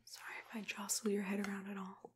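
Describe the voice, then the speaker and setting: A young woman whispering gently and soothingly close to the mic, in an ASMR roleplay as a dentist. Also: medium pitch, monotone, fast talker, background noise. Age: young adult. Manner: soothing, gentle, hesitant murmured phrasing. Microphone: close to the microphone.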